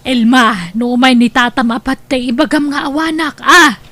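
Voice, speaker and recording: Comedy drama role, female actor: proud elderly Ilocano mother, very high pitch, matter-of-fact and stubborn. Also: steady tone, moderate pace, bright, forceful tone, faint music behind. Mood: indignant.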